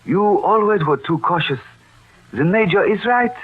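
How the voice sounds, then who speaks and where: Confident high-voiced resistance leader. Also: male voice, lively intonation, normal speed, slightly rough. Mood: confident, dismissive. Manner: formal, assertive. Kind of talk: old-time radio drama acting. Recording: tinny old radio recording.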